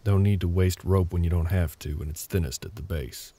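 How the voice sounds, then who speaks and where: A man voicing a gruff, terse older cowboy with a Southern US drawl and a gravelly voice in a roleplay audio drama. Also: sits low, steady tone, fairly quick, mellow. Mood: matter-of-fact, instructing. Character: gruff older cowboy ranch hand, grumpy, curt, grudgingly helpful.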